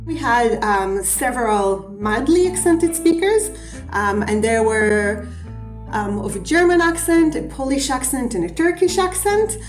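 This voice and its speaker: A woman researcher with an Israeli accent, describing her study in a hesitant way with many pauses. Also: fairly high pitch, big pitch swings, soft background music. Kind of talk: interview answers. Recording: echoey room.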